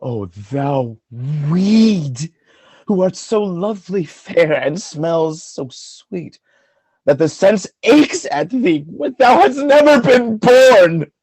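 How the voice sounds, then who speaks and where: Guy playing jealous shakespeare husband, husky, slow, theatrical, big swings in pitch, super dry sound. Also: expressive, dry recording, full character voice. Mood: scornful, tormented. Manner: theatrical, volatile, swinging between quiet and fury. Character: jealous, tormented husband and lord, raging with suspicion. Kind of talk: Shakespearean radio play acting.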